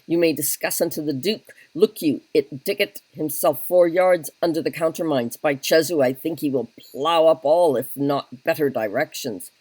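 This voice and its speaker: A man's voice: on the high side, normal speed, few pauses, a little mellow, dry recording, lightly acted. A man voicing a pedantic, long-winded army captain who keeps saying "look you", in a solo reading of a stage play. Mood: lecturing. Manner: fussy, wordy, repeats "look you". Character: pedantic talkative male Welsh captain.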